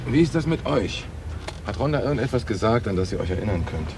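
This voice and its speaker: Quick-talking, composed police detective. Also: male voice, fairly low pitch, expressive. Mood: probing. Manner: matter-of-fact, inquisitive, composed. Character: calm, probing middle-aged male New York police detective. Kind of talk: radio drama acting. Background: music, traffic and street sounds.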